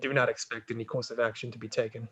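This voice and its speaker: A man playing a deferential, dutiful samurai envoy in a dramatic reading, formal and measured, on slightly dull audio. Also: mid-range voice, flat delivery, a bit bright, slightly rough. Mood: formal, firm.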